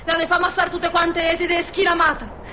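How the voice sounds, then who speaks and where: A woman's voice: very high voice, monotone, brisk, bright, forceful tone, slight background noise. An actress playing a blunt, alarmed woman with a Veneto accent in a wartime radio play, scolding urgently in dialect. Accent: northern Italian, Veneto. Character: blunt, alarmed northern Italian woman warning her friends. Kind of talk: radio theatre acting in dialect.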